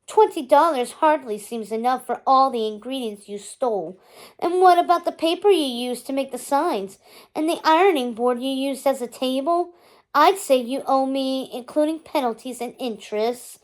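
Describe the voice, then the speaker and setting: Lady doing a mean smug stepmother from a kids storybook, taunting, kinda high female voice. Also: normal speed, close to an everyday voice. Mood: smug, menacing. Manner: taunting, self-satisfied. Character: scheming cruel stepmother, middle-aged woman, mocking.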